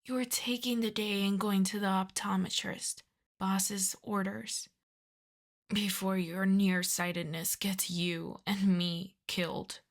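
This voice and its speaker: Audio drama casting: female mafia boss acted by a woman, wry and courteous under exasperation, sweary, medium pitch, leisurely delivery. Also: fairly even intonation, slow pace. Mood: exasperated. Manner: wry, sweary, conversational, addresses listener as darling. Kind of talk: roleplay audio drama, ASMR.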